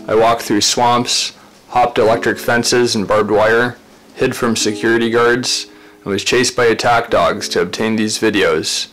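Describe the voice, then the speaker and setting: A man working as an animal rights activist reads a scripted voice-over, earnest and measured, laying out his points in short listed phrases. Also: lowish voice, steady tone, fairly bright tone, rough recording. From Ontario, Canada. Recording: voice-over with faint music behind. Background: faint guitar music.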